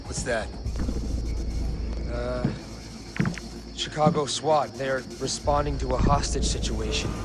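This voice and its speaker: A mid-pitched actor as a young male tech analyst in a game cinematic. He talks in a blunt, informal, eager way, insisting on his point over music. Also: slow pace, long pauses, lightly acted. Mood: alert. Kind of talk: cinematic video game acting.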